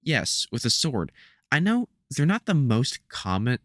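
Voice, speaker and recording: A man playing a gruff, protective veteran vampire hunter in an audio roleplay, talking hesitantly and with light sarcasm to the listener. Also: medium pitch, very animated, unhurried, fairly bright tone, no room sound, studio quality. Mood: stern, protective.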